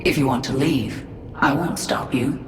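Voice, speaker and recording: An actress playing a vengeful, commanding half-human queen of an alien swarm in a video game cutscene, speaking gravely and deliberately. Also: a bass voice, noisy background. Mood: measured. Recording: roomy, distant, under loud music.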